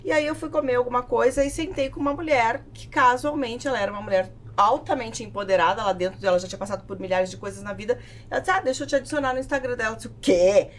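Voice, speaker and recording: A woman with a southern Brazilian accent telling stories in an animated, casual podcast conversation, acting out what people said. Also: on the high side, fast talker, hardly any pauses, slight background noise. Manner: animated storytelling, acts out quoted remarks. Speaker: podcast guest, business owner.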